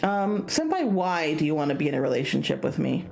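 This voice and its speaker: A woman's voice: low voice, sing-song, brisk, creaky, a little mellow, exaggerated voice. A woman playing a shy, easily exasperated young man in a visual novel. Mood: hesitant, questioning. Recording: music behind the voice.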